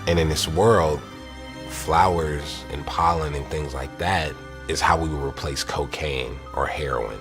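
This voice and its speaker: A man's voice: a bass voice, fairly even intonation, measured pace, music in the background. A young man hosting his own show, talking in a loose, joking, slangy way about making a stop-motion film. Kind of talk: casual vlog chat.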